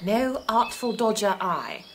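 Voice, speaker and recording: A woman's voice: mid-range voice, very animated, slow pace, slight background noise. A woman playing a time traveller's dry-witted, cautious companion in an audio drama, speaking formally and precisely. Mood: dry, reluctant.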